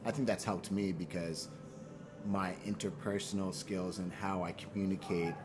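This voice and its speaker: A man's voice: deep voice, fairly even intonation, moderate pace, over music. A man from Boston and Nigeria talking casually as an interview guest, earnest and slangy, often repeating phrases for emphasis. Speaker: fashion brand founder, interview guest. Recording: clear background noise, room ambience.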